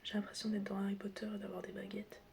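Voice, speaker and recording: A woman doing an ASMR roleplay in a breathy whisper mixed with soft speech, teasing and chatty as she addresses the listener like a close friend. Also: mid-range voice, completely flat delivery, quick pace. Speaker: ASMR creator role-playing as a doctor and best friend. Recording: clear background noise with clicking sounds.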